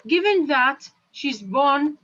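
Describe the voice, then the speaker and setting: Woman, math teacher, questions her class, high-ish voice, lo-fi classroom sound. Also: animated, fairly bright tone. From Jordan. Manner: slow, repetitive questioning of students. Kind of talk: classroom lesson.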